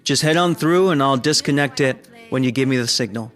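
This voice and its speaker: An actor playing a chatty, teasing colleague on a space station in a sci-fi audio drama, casual and inquisitive. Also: male voice, mid-range voice, brisk, fairly bright tone, natural voice.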